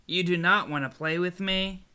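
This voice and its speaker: A woman's voice: on the low side, steady tone, normal speed, pushed and bright, smooth, pitched above the performer's own voice. A woman voicing a polite, hopeful snake in a picture book, earnest and eager.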